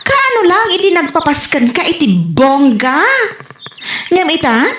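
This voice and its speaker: A woman's voice: sits high, sing-song, unhurried, fairly bright tone, heavily acted. A woman playing a blunt, scolding neighbour and friend in a radio comedy drama, with an Ilocano Filipino accent. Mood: surprised, nosy. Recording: echoey room, faint background noise.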